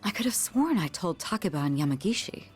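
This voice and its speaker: An actress playing a composed, studious student leader in a video game, formal and measured. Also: female voice, on the low side, very animated, soft background music. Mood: composed, firm.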